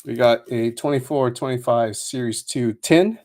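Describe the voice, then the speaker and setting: A man's voice: mid-range voice, darkish tone. Casual man hosting a card break. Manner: rambling, with many fillers and quick laughs; reads out a long list of names. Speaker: host of a trading card box break. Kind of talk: casual livestream chat.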